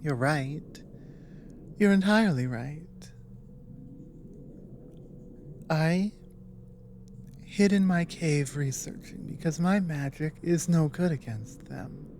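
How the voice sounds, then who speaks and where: Slow, mellow war-weary dragon woman, acted out. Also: sits low, slight background noise. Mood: reluctant admission. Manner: halting, earnest, dramatic pauses. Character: female dragon, grave war-weary survivor urging discipline. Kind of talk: ASMR roleplay audio drama.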